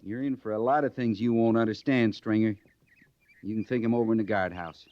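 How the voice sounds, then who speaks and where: An actor playing a stern, plain-spoken cavalry sergeant with folksy grammar in an old radio western. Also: male voice, on the low side, fairly even intonation, fairly quick, dry recording, natural voice. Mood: stern, impatient. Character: gruff, no-nonsense cavalry sergeant, loyal and stern. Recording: muffled vintage radio recording.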